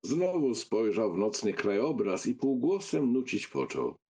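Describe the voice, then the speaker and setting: A male narrator reads literary prose expressively. His voice is husky and of medium pitch, with lively, wide intonation. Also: unhurried. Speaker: audiobook narrator.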